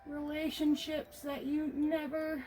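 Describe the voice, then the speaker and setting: Female vlogger, high voice over faint music, candid and halting, soft-spoken type making an effort to carry. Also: little pitch movement, rough recording. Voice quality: normally quiet voice, making an effort to project. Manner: reflective, halting, encouraging, candid. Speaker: vlogger painting on camera. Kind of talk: casual self-talk while painting. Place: hot room indoors.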